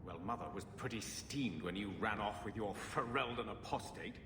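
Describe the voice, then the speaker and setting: An actor playing a gruff, dismissive, grumbling middle-aged uncle in a fantasy video game. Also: male voice, lowish voice, moderate pace, long reverb tail, background noise, lightly acted. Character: bitter, grumbling middle-aged uncle fallen on hard times.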